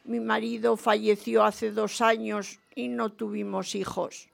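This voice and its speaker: A very old, lively woman calling a radio show in a comic radio play. Her voice is high and heavily creaky. She rambles and gushes at an unhurried, slow pace. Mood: reminiscing, chatty. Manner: rambling, gushing, oversharing. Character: chatty, lively 92-year-old widowed former nun and teacher. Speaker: quiz show caller. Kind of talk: comic radio theatre acting.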